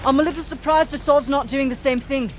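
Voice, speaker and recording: A woman plays a cheeky, practical adventurer in an action game. She talks casually in dry quips, with very loud vehicle and outdoor noise all around her. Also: high voice, steady tone, brisk, natural voice. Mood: dry, teasing. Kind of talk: video game cutscene acting.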